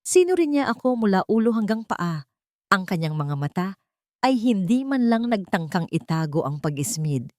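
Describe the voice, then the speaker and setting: A woman narrates a scripted first-person dramatic story in a measured, solemn, literary manner. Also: mid-range voice, very animated, darkish tone, no echo, clean studio sound.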